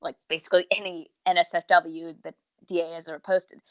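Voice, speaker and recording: Female podcast co-host, lowish register, chatty and rambling, dry and slightly dull recording. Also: little pitch movement, brisk, dry recording. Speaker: podcast co-host reviewing audios. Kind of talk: casual review chat.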